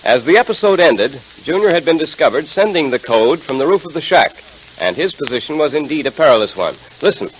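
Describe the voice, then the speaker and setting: Man, vintage radio announcer, lively swooping intonation, emphatic sales delivery, scripted, faint hiss. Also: a little high, brisk, low-quality recording. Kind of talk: scripted 1930s radio sponsor announcing and narration. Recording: hissy vintage 1930s radio transcription.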